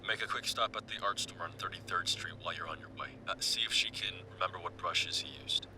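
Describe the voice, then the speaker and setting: Deep-voiced, authoritative police boss male actor, terse and understated, heard off-mic through clear noise; audio drama. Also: quick pace. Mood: commanding. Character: commanding, terse senior male police detective. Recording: distant voice, clear vehicle noise.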